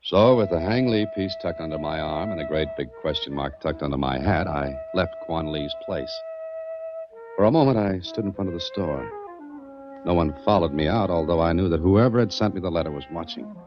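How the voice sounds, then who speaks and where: An actor playing a wry, inquisitive adventurer who also narrates, conversationally, in a 1940s radio drama. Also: male voice, low voice, a little fast, over music, close to an everyday voice. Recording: dull old 1940s radio recording.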